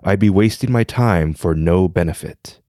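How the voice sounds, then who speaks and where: A man's voice: deep voice, normal speed, dry recording, clean studio sound, voice pushed down, close to an everyday voice. A man doing a wry old mystic. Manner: calm and wry.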